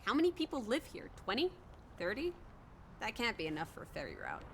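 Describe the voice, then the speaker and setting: Plucky young sidekick for a narrative game: bright-spirited, swooping intonation, wry and slangy, cheeky. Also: female voice, fairly high pitch, wildly swooping pitch, a little fast, slight background noise. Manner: playful, wry, uses old-fashioned slang. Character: plucky, playful, teasing young woman companion with slangy wit. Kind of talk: naturalistic video game voice acting.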